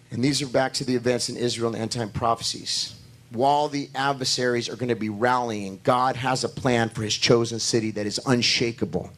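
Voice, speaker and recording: A hoarse male pastor preaching a sermon in a church, rising into emphatic declarations. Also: mid-range voice.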